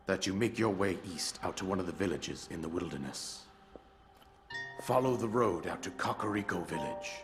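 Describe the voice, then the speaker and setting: A solemn old king in a video game, mourning his lost kingdom. His voice sits very low and he speaks in a grave, formal, measured way, with orchestral score clearly playing underneath. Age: older. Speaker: narrator of past events in a cutscene. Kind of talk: video game cutscene voice acting. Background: orchestral music.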